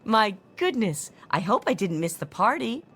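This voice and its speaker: A woman's voice: medium pitch, sing-song, moderate pace, fairly bright tone, breathy edge. A woman playing a kindly old lady, fussy, sweet and grandmotherly, in a video game. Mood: delighted, fond.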